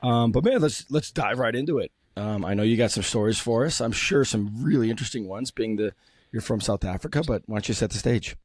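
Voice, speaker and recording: Man, podcast host, hoarse from a cold, lowish voice, dry close sound, friendly, halting. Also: a little mellow, dry recording. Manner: friendly, hesitant with many fillers. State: losing his voice from a cold. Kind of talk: casual interview chat.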